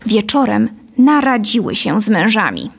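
Creaky-voiced woman narrating a story. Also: medium pitch, sing-song, normal speed. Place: dim studio set, indoors. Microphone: close studio condenser microphone.

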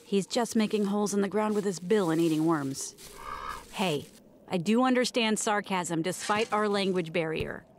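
A woman playing a fussy, chatty castaway in a comedic audio drama, expressive, breezy and rambling. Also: mid-range voice, moderate pace, a little hiss or hum, natural voice. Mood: breezy, defensive. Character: fussy, chatty woman stranded in another world. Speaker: podcast narrator character.

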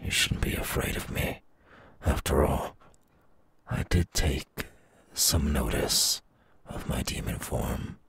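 Demon villain, extremely husky and breathy, slow, gloating, hungry menace, ASMR roleplay. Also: male voice, rumbling low, fairly bright tone, heavily acted.

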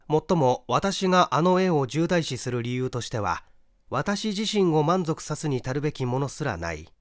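Casting: male story reader for mystery fiction, mid pitch, highly expressive range, theatrical, dry recording. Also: no echo. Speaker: audiobook reader, stage actor. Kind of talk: dramatic scripted narration of a mystery story.

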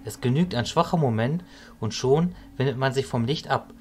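Man playing a calm, consoling mentor. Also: mid-range voice, faint music behind, lightly acted. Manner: calm, measured, philosophical. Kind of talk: fantasy audio drama acting.

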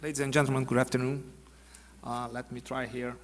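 A Brazilian man in his fifties, a general, presenting at a podium in a large auditorium in halting English with a Brazilian Portuguese accent, full of fillers and restarts. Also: medium pitch, level tone, slight background noise. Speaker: panel speaker, army general. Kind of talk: conference presentation. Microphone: podium microphone. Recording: long hall reverb.

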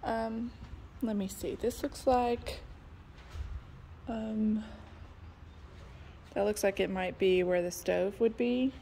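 Slow, gravelly woman on a phone. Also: mid-range voice, steady tone, mellow, a little hiss or hum. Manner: chatty, thinking aloud with many ums. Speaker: real estate agent. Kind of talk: casual walkthrough video. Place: house under construction, indoors and in the yard. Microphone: phone held by the speaker.

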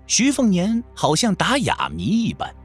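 A man's voice: sits high, huge pitch swings, measured pace, breathy edge, faint music behind. A man narrating a martial-arts novel as a scripted audio drama, telling the story in the third person.

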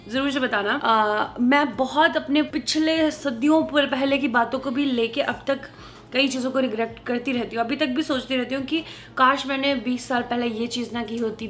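A Punjabi-accented woman in her thirties chats earnestly to her viewers from her kitchen. Her voice sits high and sounds bright and forward. She talks in an animated, personal way. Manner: earnest, animated, often laughing. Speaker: vlogger. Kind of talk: casual chatty vlog talk to viewers. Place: home kitchen and living room, indoors. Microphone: camera on a tripod at mid distance.

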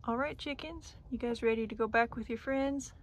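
A woman's voice: fairly high pitch, normal speed. Young woman vlogging among clucking chickens. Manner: chatty, talks to her chickens in a soft coaxing voice. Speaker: homestead vlogger. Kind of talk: casual vlog narration. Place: outdoors on a farm among chickens. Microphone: phone camera held at arm's length. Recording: outdoor phone audio with chicken noise. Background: clucking chickens and roosters.

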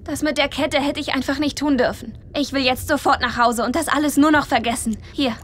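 A woman's voice: high-pitched, fairly even intonation, brisk, fairly bright tone, a little hiss or hum. A woman playing a brash, flustered young surfer girl in a children's audio drama, blunt and colloquial.

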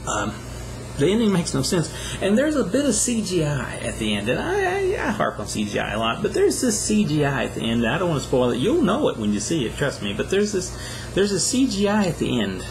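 Gravelly, animated man reviewing films. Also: on the high side, a little fast, slight background noise, low-quality recording. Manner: exasperated, rambling, conversational. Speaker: film reviewer. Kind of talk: casual video review. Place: small plain room indoors.

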